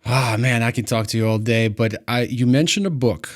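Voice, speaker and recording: A male host from Ukraine and a native Russian speaker, telling a personal story with animated bursts and restarts. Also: mid-range voice, normal speed. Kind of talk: storytelling interview chat.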